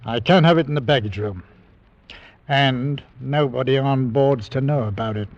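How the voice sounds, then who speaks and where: Man doing a gruff cautious ship captain, clipped, old dull radio sound. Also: mid-range voice, normal speed, lightly acted. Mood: brusque. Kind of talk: 1940s radio acting.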